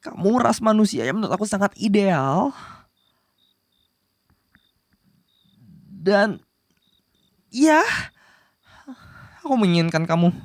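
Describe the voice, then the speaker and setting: A woman's voice: medium pitch, lively intonation, normal speed, dry recording, clean studio sound, natural voice. A woman playing a possessive, lovestruck young male demon prince, bossy yet flustered, in a romantic roleplay spoken to the listener. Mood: flustered, insistent. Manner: insistent and flustered, pleading between commands. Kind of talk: romantic ASMR roleplay acting addressed to the listener.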